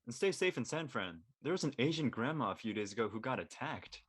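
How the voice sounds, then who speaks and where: Man playing a husky, teasing young friend. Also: mid-range voice, very animated, fairly quick. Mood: blunt, friendly. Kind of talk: casual scripted dialogue.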